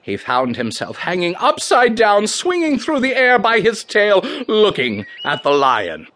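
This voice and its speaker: A man telling a tale theatrically, with animated delivery and dramatic pauses. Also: high voice. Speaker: storyteller. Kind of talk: theatrical first-person storytelling.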